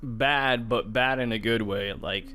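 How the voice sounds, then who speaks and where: A man's voice: medium pitch, a little slow, pushed and bright. A man co-hosting a podcast, talking in a casual, informal way like an enthusiastic gamer. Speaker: podcast co-host. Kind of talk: casual chat about video games and movies.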